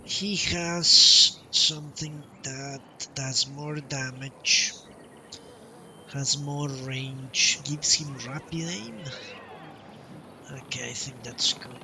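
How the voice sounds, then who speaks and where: A man's voice: mid-range voice, extremely slow, gravelly, pushed and bright, a little hiss or hum, rough recording. A man doing casual live gameplay commentary as a streamer, musing and thinking aloud in short phrases. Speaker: game streamer. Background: game music.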